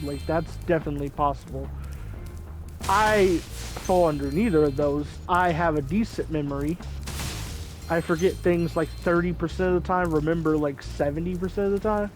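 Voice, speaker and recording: A young man in a casual let's-play, talking off the cuff with a slightly raised pitch and lots of "like" fillers. Soft game music plays faintly behind his desk mic. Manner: rambling, many "like" fillers. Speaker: gaming video host.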